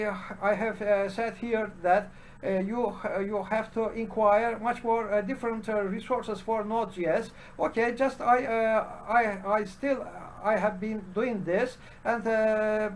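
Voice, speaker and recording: A man with a Turkish accent, a native Turkish speaker, giving an unscripted solo commentary for his programming channel, halting and full of "uh" fillers and restarts. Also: sits high, slight background noise.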